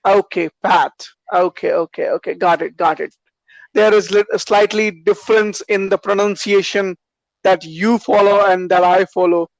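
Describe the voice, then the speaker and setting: A man's voice: sits high, steady tone, normal speed, creaky, no room sound, low-quality recording. A man with an Indian accent practicing English on a video call, asking questions and repeating words back. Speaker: English learner. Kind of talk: casual online conversation lesson.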